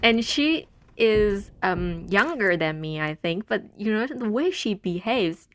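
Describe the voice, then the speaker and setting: A woman in her twenties from Taiwan, chatting and telling stories while playing a video game, rambling with many pauses. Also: mid-range voice, expressive. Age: 20s. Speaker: let's play gamer.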